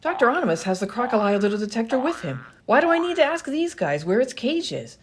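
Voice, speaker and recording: A woman playing a brisk, sarcastic, impatient adventurer in a comedy radio play. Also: fairly high pitch, very animated, slight background noise. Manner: impatient, pointed questioning.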